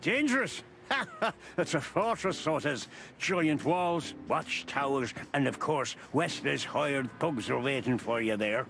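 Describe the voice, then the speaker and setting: Jovial, sardonic noir game informant, high-pitched. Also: male voice, lively intonation, unhurried, a bit bright, faint music behind. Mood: amused warning. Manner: jovial, sardonic, turns of phrase like "so it is". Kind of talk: noir adventure game voice acting.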